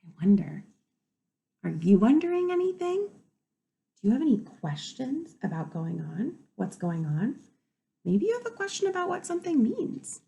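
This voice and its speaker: Woman teacher reading a kids book out loud, bit high and creaky, stops to wonder stuff. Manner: expressive, pauses to pose questions to young listeners. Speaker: kindergarten teacher reading a picture book aloud. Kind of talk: children's read-aloud with wondering asides.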